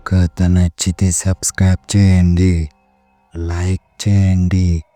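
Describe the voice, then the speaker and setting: Deep, dark-voiced male horror narrator. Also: completely flat delivery, slow, dry recording. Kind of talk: scripted horror story narration. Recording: music behind the voice.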